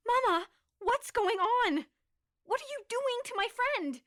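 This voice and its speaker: A woman's voice: very high-pitched, expressive, unhurried, fairly bright tone, no echo, clean studio sound, heavily acted. A woman acting a naive, anxious young daughter in an audio drama, earnest and dramatic. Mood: shocked, confused.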